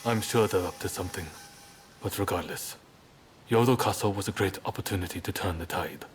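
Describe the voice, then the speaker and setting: A man acting a confident, comradely ally in a samurai game cutscene, encouraging, with faint rumble behind him. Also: a little fast, natural voice. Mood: confident, thoughtful.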